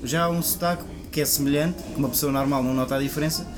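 A man's voice: mid-range voice, steady tone, rapid-fire. A young man with an Alentejo accent who hosts a casual chat and teases his guest playfully. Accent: Alentejo Portuguese. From Alentejo, southern Portugal. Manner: teasing, playful. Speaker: host. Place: student radio set up in a café.

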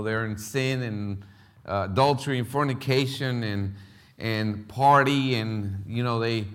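Halting Puerto Rican missionary man. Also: medium pitch. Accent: Philadelphia. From Puerto Rico. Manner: halting, many fillers and restarts. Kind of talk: testimony in a church service. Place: church.

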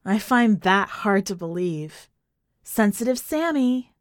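A woman's voice: medium pitch, animated, slow, dry recording. Lady narrator doing a tired bitter middle-aged woman in a story, needling, defiant, dry sound.

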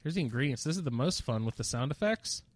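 A man's voice: mid-range voice, animated, fast, a little hiss or hum. Sniffling man casually narrating his gameplay. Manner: rambling, self-deprecating, jokey. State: sniffling with a slight cold. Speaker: gamer narrating own playthrough.

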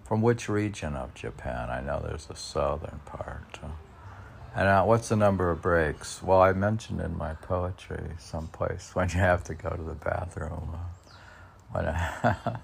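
Very deep, gravelly man, slow and halting. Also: a little hiss or hum. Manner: halting, musing, repeats questions back to himself. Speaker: poet reading and discussing his own work. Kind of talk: rambling monologue of self-posed questions and answers.

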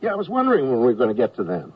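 Wry draftee, lively pitch, noisy recording. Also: male voice, rapid-fire. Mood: deferential. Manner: dry, deferential, understated. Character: wry, polite male army draftee narrating his misadventures. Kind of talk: radio comedy acting and scripted narration. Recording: muffled, noisy, distant 1970s radio recording.

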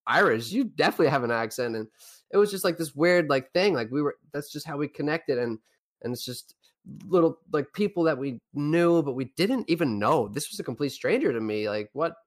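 A young man from Newfoundland, Canada, with a Newfoundland accent, co-hosting a casual podcast chat and telling rambling, animated stories. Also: fairly high pitch, a little fast. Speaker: podcast co-host.

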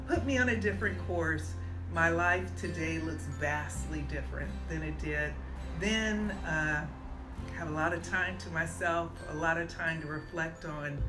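A woman in her sixties from San Francisco, telling her personal story in an interview in a reflective, unhurried way with frequent ums. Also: low voice, over music, poor audio. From San Francisco, USA. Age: 60s. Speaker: interview guest.

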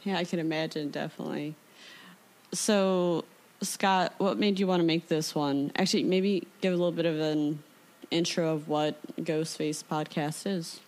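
A woman's voice: low voice, steady tone, moderate pace, a little mellow. A woman hosting a casual interview, talking informally and peppering her questions with filler words like "like". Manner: informal, with frequent "like". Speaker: podcast interviewer.

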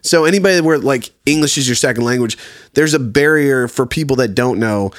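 A man's voice: medium pitch, a little fast, no echo, clean studio sound. A man in his thirties from Virginia, a podcast guest talking casually, laid-back, laughing often and swearing freely. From Virginia, USA. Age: 30s. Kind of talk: casual chat.

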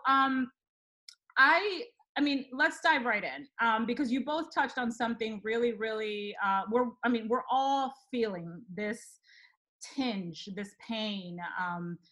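Woman host, slightly high voice, candid, filler-heavy casual talk. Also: sharp tone. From Dominican Republic. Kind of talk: casual conversational chat.

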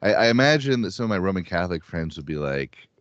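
A man's voice: deep voice, big pitch swings, fast, no echo, muffled recording. A man with a Midwestern accent, co-hosting a casual podcast discussion in a conversational way. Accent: Midwestern American. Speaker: podcast co-host.

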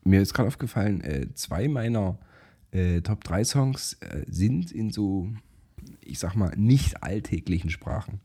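A man's voice: on the low side, lively intonation, unhurried, mellow, husky. A man co-hosting a casual podcast, teasing the others and laughing a lot. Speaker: podcast co-host. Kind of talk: casual banter.

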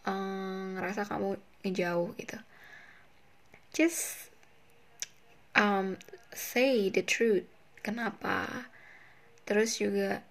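A woman's voice: mid-range voice, vocal fry. A young woman with an Indonesian accent, hosting a casual solo advice podcast in a chatty, self-conscious way. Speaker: podcast host.

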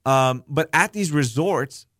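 A young man, born in Pakistan, hosting a casual podcast chat, telling candid, animated stories with some swearing. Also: fairly high pitch, takes it slowly, no room sound, studio quality. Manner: candid, animated storytelling with profanity. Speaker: podcast host.

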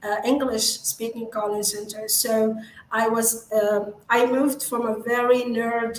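A young woman from Morocco whose first languages are Arabic and French, talking candidly as a podcast guest. Her voice sits a little high, with plenty of uhs and restarts as she goes. The room is echoey, with a faint hum of ambience underneath. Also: steady tone, moderate pace. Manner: candid storytelling, frequent fillers and restarts. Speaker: guest entrepreneur. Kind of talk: podcast interview. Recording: roomy studio echo with faint ambience.

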